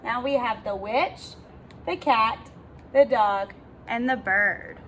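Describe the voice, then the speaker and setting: A woman reading a children's story aloud expressively, pausing to ask her young listeners questions like a teacher. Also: a little high, fairly bright tone, a little hiss or hum. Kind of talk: animated children's read-aloud.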